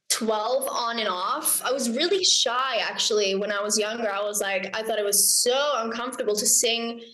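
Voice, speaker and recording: A woman's voice: mid-range voice, a bit bright, reverberant, low-quality recording. A young Icelandic woman, a singer and songwriter, chatting as a guest in a casual interview, bubbly and giggly, often quoting herself.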